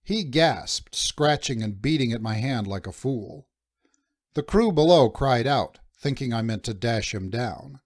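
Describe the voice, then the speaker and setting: Male narrator for first-person adventure fiction. Medium pitch, measured dramatic storytelling, dry room-free sound. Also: expressive, no room sound. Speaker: audiobook narrator.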